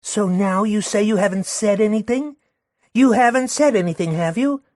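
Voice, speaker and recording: A man's voice: moderate pace, a little mellow, dry recording, studio quality, natural voice. A man voicing a furious young working-class woman who rails and jeers with scorn. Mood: indignant. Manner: shrill, jeering, railing.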